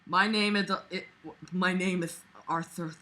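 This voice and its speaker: A woman's voice: on the low side, steady tone, measured pace, sharp tone. A woman voicing a defiant, self-absorbed teenage boy from a story, sullen, dramatic and defensive. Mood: indignant.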